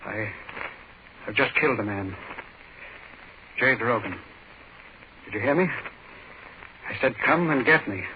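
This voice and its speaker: A man's voice: mid-range voice, animated, a little slow, long pauses, natural voice. A man playing a grave, troubled doctor in a 1940s radio drama, with a solemn, clipped delivery. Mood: grim, shaken. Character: grave, troubled male doctor Charles Krager. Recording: muffled, hissy 1940s radio broadcast.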